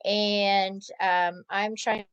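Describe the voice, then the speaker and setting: A woman introducing herself to a class in a soft-spoken, hesitant way. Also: medium pitch, little pitch movement, extremely slow, clear, resonant tone, no room sound, dull audio. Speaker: student.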